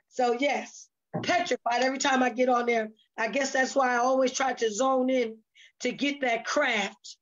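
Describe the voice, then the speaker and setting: Female interviewee, actor, notably high pitch, animated anecdotal delivery, lo-fi recording. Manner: animated, repeats phrases, acts out quotes. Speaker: stage play actress being interviewed. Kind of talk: unscripted personal anecdotes. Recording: dull, lo-fi sound.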